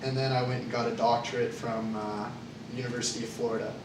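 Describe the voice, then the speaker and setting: Young man, flat delivery, halting with fillers, distant mic, noisy echoey room. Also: mid-range voice. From Canada. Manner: hesitant, with frequent uh and um fillers. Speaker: job candidate in a mock interview. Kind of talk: student role-play job interview. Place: classroom.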